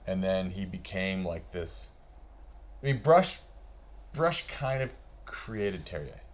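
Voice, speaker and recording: A man's voice: sits low, sing-song, takes it slowly. A middle-aged man chatting casually as a podcast guest, laid-back, slangy and freely swearing as he reminisces. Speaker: podcast guest, veteran pro snowboarder. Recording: noticeable background room noise.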